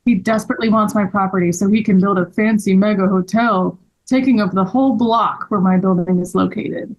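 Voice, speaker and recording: A woman's voice: mid-range voice, fairly even intonation, a little fast, natural voice, poor audio. A woman reading the part of a distraught, bitter store owner with dramatic delivery at a table read. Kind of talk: table read of a scripted story.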